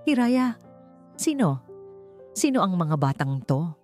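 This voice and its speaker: A woman's voice: on the low side, very animated, takes it slowly, a little mellow, soft background music. A Filipino-accented woman voicing a cold, commanding, guarded male boss in a romance audio story. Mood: shaken, doubting. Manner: clipped, emotionless, commanding.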